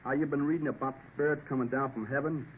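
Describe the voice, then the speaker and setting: An actor playing a skeptical sailor who talks in a dry, scoffing drawl. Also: male voice, mid-range voice, steady tone, fast talker, natural voice. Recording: tinny old radio broadcast with hiss.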